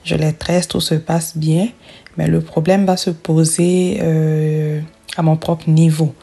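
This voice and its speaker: A woman's voice: low-pitched, little pitch movement, slow, gravelly, warm tone, breathy edge. A middle-aged woman from Africa telling a personal story as a voice-over for her own video, chatty, and often restarting her words. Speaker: hair braiding vlogger.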